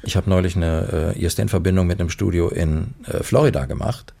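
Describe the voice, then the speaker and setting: A man talking casually and explaining things, with pauses as he searches for words. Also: sits low, vocal fry, mellow, husky. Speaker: radio drama actor. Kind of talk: casual conversation.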